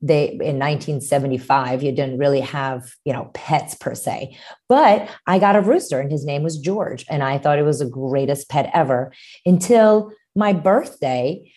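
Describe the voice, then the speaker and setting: Woman in her 50s from Romania, pediatrician guest, low and mellow voice, chatty storyteller. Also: moderate pace. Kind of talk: interview storytelling.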